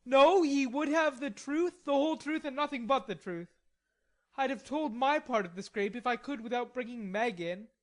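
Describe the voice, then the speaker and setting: Indignant, defiant young gentleman in a storybook, put on by a woman; higher and tenser than her own voice. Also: animated, moderate pace. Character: hot-tempered proud young gentleman.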